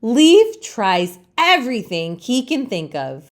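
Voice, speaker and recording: Slow, expressive woman reading a storybook. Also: fairly high pitch. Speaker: storytime host reading aloud. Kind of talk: expressive children's storybook narration.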